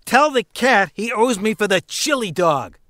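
A woman's voice: medium pitch, animated, a little slow, a bit bright. A woman playing a spacey squatter. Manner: spacey, rambling. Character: odd, childlike female squatter, fixated on shiny things. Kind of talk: audio drama acting.

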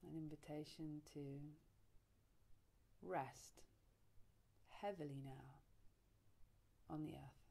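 A woman leading a guided relaxation meditation, soothing and unhurried, speaking in short phrases with long pauses. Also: deep voice, creaky, mellow, husky, poor audio. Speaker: yoga nidra teacher, meditation guide.

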